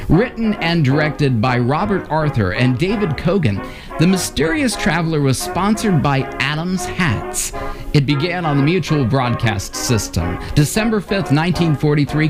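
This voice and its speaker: A man presenting in scripted narration as the host of a radio history programme. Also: on the high side.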